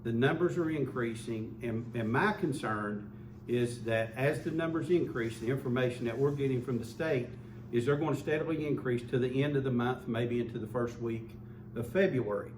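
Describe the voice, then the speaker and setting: A man's voice: medium pitch, normal speed, a little mellow. A man giving an earnest public health update as a local official, often repeating words as he restarts. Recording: echoey room, mic far off, faint hum.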